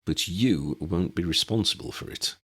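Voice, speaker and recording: A man's voice: deep voice, moderate pace, a bit bright, slightly rough. A man voicing a serious, cautious young male wizard leader, firm and decisive, in an audiobook.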